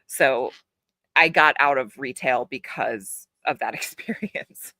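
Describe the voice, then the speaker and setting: A woman's voice: very deep voice, slow, bright, forceful tone, no echo. A woman guest, an actor and audiobook narrator, telling stories frankly and with plenty of swearing. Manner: frank, profane, storytelling. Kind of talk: casual interview chat.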